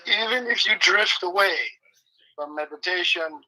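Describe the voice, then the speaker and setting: A man with a very bright, sharp voice, pitched somewhat high, who speaks in halting, broken English. He delivers deadpan jokes and slow, deliberate phrases while acting as a guest co-host and leading listeners in meditation. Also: low-quality recording. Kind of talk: rambling comic chat turning to guided meditation.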